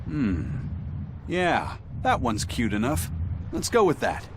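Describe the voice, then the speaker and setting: A man playing a gruff, laconic tough guy with a soft side in an anime game dub, terse and low-key. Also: huge pitch swings, takes it slowly, background noise. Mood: quiet, satisfied.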